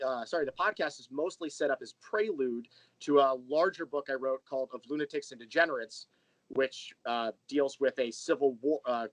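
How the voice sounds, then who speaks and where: A man's voice: medium pitch, fairly bright tone, no room sound, rough recording. An American man chatting casually as an interview guest, candid and self-deprecating in a rambling way. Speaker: interview guest, audio drama creator.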